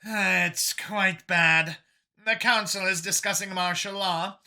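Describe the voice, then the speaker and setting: A man voicing a weary, composed alien woman in government, speaking in a measured, hesitant way for a science fiction audiobook. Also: sits high, fairly even intonation, bright, forceful tone, pitched above the performer's own voice, full character voice. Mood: weary, worried.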